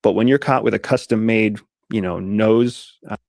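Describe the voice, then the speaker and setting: Mid-pitched man with a very gravelly voice, methodical explainer, dry close recording. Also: moderate pace, a little mellow, dry recording. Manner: methodical, lays points out step by step. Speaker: guest, disguise expert. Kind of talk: casual podcast interview.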